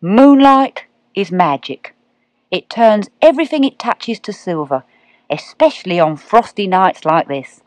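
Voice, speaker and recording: Kindly male cat, drawling, unhurried, lower voice, put on by a woman narrator, dry sound. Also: expressive, dry recording. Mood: dreamy. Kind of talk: storybook reading.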